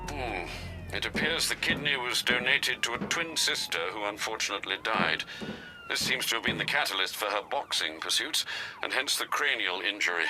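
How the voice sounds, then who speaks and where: An actor playing a dutiful, refined older butler in a video game, formal and gravely concerned. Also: male voice, fairly low pitch, animated, noisy background, natural voice. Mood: sombre reporting. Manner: formal, deferential, measured, dryly understated. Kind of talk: video game cutscene acting.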